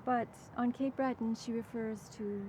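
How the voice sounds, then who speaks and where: An older woman hosting a literary interview, putting prepared questions and audience questions in a measured, articulate way. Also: on the high side, steady tone. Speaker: interviewer, event host. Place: outdoors in a rose garden. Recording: clear outdoor background noise.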